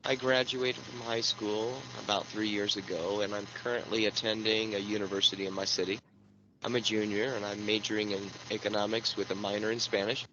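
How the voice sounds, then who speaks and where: Slow scripted textbook-audio man. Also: fairly low pitch, little pitch movement. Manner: slow, clearly enunciated reading. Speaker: listening exercise recording. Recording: lo-fi playback with background noise.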